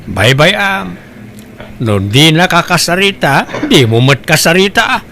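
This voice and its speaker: A grumbling older father voiced by a man, blunt about family troubles. His voice sits fairly high for a man, in the manner of radio comedy drama. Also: very animated, a little slow, sharp tone, slight background noise, full character voice. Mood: blunt, resigned. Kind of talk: Ilocano comedy radio-style drama acting.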